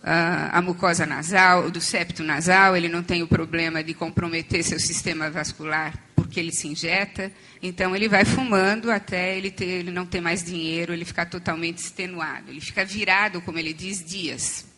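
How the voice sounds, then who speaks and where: A woman speaks at a conference with a hoarse, strained voice, near to losing it. Her tone is bright and sharp despite the hoarseness. She explains step by step, pausing on fillers and starting sentences over. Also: lowish voice, steady tone. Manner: explanatory, with frequent fillers and restarts. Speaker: conference panel speaker on addiction treatment. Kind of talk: academic conference talk. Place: conference hall.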